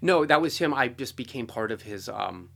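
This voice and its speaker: A man talking informally as an interview guest, telling stories in an animated way and often adding "you know" and "like". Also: mid-range voice, normal speed, gravelly, husky. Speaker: guest, composer and orchestrator. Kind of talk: conversational interview storytelling.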